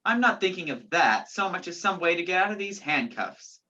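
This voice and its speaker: Woman playing a sardonic man on the run, low-voiced. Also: lively intonation, fairly quick, pushed and bright. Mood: dry, sarcastic. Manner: dry sarcasm, clipped orders. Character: sardonic, commanding man on the run, falsely accused. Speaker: student stage actor. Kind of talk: radio play acting. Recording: dull, lo-fi virtual recording.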